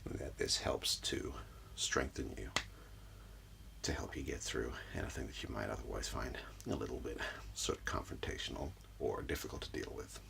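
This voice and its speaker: Male ASMR practitioner, extremely low register with gravelly fry, breathy and soothing. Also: normal speed, background noise. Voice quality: breathy, often whispered. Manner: gentle, soothing, reassuring. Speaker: ASMR host, role-playing Reiki practitioner. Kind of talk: soft-spoken ASMR, personal-attention session. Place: small room indoors.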